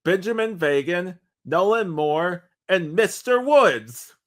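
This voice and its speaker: A man's voice: sits high, expressive, slow pace. A man co-hosting a podcast in casual discussion, talking in a rambling, conversational way with "like" dropped in often. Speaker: podcast co-host.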